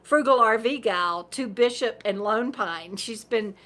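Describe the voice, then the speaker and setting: A woman's voice: a little high, measured pace, a bit bright. A woman in her fifties hosting a casual livestream, reading viewer comments, rambling and laughing often, losing her voice. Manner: rambling, chatty, laughs often, repeats words. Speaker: livestream host.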